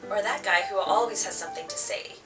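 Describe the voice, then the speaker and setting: A woman's voice: medium pitch, fast. Lady narrating from a script, music under it, slightly distant.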